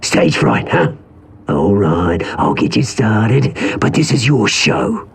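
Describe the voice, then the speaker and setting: A man's voice: fairly low pitch, sing-song, takes it slowly, heavily acted. A man playing a mocking, menacing gangster villain with a showman's manner in a cinematic video game. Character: theatrical, sneering, menacing male gangster villain. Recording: echoey space with clear background noise.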